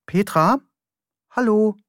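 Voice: mid-range voice, slow, a bit bright, no echo, clean studio sound, pitched above the performer's own voice. A narrator voicing a nervous, chatty middle-aged man, a former postman who rambles eagerly. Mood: coaxing. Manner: chatty, fussy, eager to please. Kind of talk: audiobook character voice.

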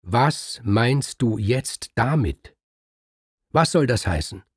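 A man voicing Kriemhild, a proud, indignant queen who protests quickly and insistently. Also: lively intonation, gravelly, mellow, slightly rough, no echo, clean studio sound, full character voice. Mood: outraged. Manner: rising shrill protest, fast and insistent.

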